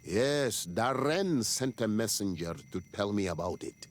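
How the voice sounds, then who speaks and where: Slow, solemn island chieftain. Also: male voice, on the low side, lively intonation. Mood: troubled. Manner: solemn, deliberate, ceremonial. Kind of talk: dramatic video game dialogue.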